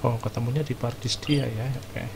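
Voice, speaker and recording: A man's voice: mid-range voice, fairly even intonation, brisk, heavy background noise. A man with an Indonesian accent giving casual gameplay commentary as a streamer. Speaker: game streamer. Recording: loud game music behind. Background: loud game music.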